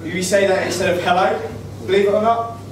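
A man's voice: sits high, steady tone, fairly quick, noisy background. Young man from Nottingham, easy-going presenter; hall echo, distant mic. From Nottingham, England. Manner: casual, explaining slang. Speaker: student presenter. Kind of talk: stage presentation. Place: school auditorium stage. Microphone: handheld mic. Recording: echoey hall, far camera, crowd.

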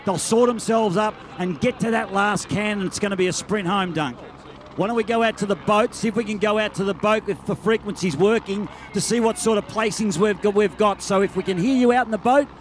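A man's voice: high voice, fairly quick, a little hiss or hum. A man giving live, chatty race commentary outdoors at a beach event. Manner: chatty, excitable.

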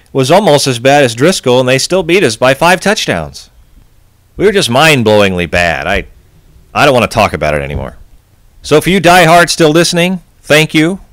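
A man's voice: on the high side, fairly bright tone, dry recording. A man hosting a sports podcast, venting his frustration in a post-game rant. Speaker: podcast host.

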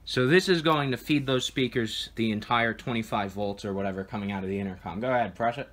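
A man's voice: fairly low pitch, fast, a bit bright. A man giving an informal, matter-of-fact demonstration of equipment as a video host, recorded indoors at home.

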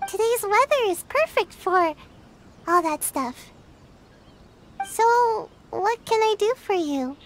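Small evasive ninja girl for a video game: drowsy, slow-paced, lilting high voice. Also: a bit bright, slight background noise, heavily acted. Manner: drowsy, hesitant, sighing. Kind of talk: video game character dialogue.

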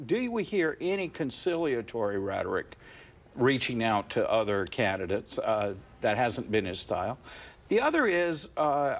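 A man in his fifties, a poll director and studio guest, explaining politics in a measured way, with fillers and restarts, on a TV studio couch and a lapel mic. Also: mid-range voice. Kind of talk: analytical studio interview. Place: TV studio set, seated on a couch.

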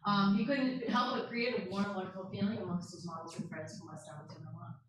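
A woman's voice: medium pitch, fairly even intonation, fast, crowd noise. An American woman co-presenting an informal talk, sharing family memories and acting out little remarks as she goes. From Connecticut, USA. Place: echoey indoor presentation room. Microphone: far room camera or microphone. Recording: very echoey room, distant mic, audience noise.

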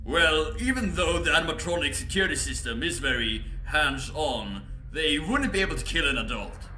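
A sharp, very bright-voiced corporate spokesman in a game cutscene. He is evasive and matter-of-fact, smoothly defending company policy. The room echoes, with faint noise behind him. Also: fairly high pitch, animated, moderate pace. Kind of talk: scripted game cutscene dialogue. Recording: roomy, faint background noise.

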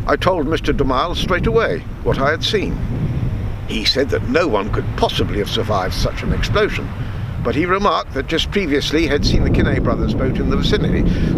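A man in his seventies reading a written true story aloud in a measured way, now and then halting over words. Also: on the high side. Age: 70s. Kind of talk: reading aloud a written memoir, with spoken introduction. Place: outdoors on a seafront. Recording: wind and sea noise on microphone.

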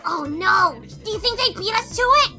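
A woman voicing a hyperactive, cheeky little boy bee in a cartoon fan dub, excitable and childish. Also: very high voice, normal speed, over loud music. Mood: spooked.

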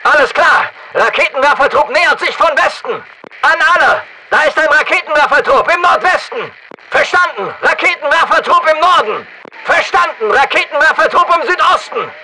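An actor playing a tough, commanding special forces soldier in a German video game dub, barking clipped military orders with urgent authority. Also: male voice, extremely high pitch, animated, normal speed, noisy background. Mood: firm, alert. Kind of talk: German game dub, barked combat callouts.

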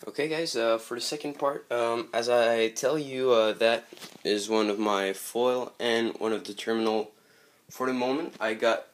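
A man's voice: lowish voice, fairly bright tone. A man from Montreal with a Quebec French accent, hesitantly showing off his model airplane collection in a casual vlog, full of ums and uhs. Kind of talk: casual show-and-tell vlog. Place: bedroom with model airport table, indoors.

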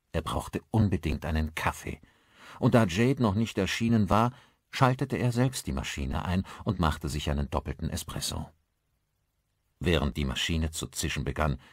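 A man narrating a thriller audiobook as scripted storytelling, with expressive phrasing. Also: low voice, no echo, studio quality.

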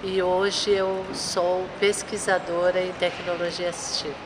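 A woman's voice: fairly low pitch, little pitch movement. A Brazilian woman in her fifties answering thoughtfully in a street interview, listing examples one by one. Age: 50s. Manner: slow, thoughtful, lists items. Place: outdoor train station. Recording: outdoor traffic noise.

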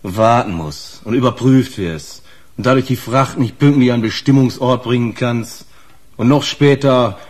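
Husky, slangy trucker played by a man. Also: medium pitch, normal speed, close to an everyday voice. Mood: sour, grumbling. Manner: colloquial, blunt, slangy. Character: gruff male truck driver, slangy, wary informant. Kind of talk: naturalistic German radio crime drama.

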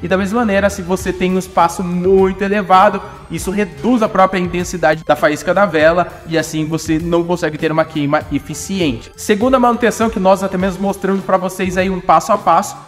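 Insistent high-voiced young man explaining cars. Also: big-room sound. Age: young adult. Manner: brisk, insistent, repeats key points. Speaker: car maintenance presenter. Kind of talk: explanatory how-to talk. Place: indoor auto workshop.

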